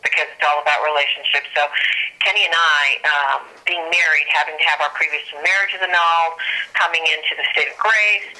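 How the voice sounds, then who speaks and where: A woman's voice: deep voice, fairly even intonation, fairly quick, sharp tone. A woman speaking earnestly as a guest in a conversational interview over a tinny telephone line, explaining things as she goes. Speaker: guest, ministry co-leader.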